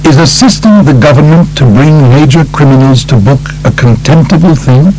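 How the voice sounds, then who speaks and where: A man voicing a solemn judge in an audiobook, formal, measured and rhetorical. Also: a little high, warm tone, soft background music, close to an everyday voice, rough recording. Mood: grave, questioning. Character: solemn male judge weighing a criminal informant's worth. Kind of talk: audiobook character reading.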